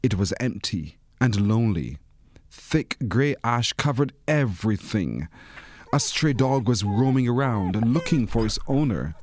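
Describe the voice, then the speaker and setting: A man's voice: mid-range voice, big pitch swings, measured pace, darkish tone. A man reading a children's storybook expressively, as a narrator who acts out the characters. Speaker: story reader.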